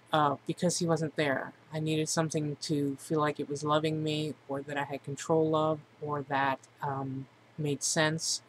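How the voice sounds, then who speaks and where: Candid middle-aged woman over clear background noise. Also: low-pitched. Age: 40s. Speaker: vlogger introducing themself. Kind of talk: personal monologue to camera, casual. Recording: clearly noisy amateur recording.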